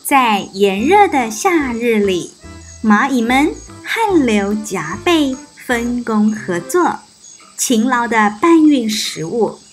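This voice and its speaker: High-voiced woman telling children's stories. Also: big pitch swings, slow pace, soft background music. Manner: addresses small children with questions and soft particles. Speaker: children's storytime host and narrator. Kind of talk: expressive storytelling for preschoolers.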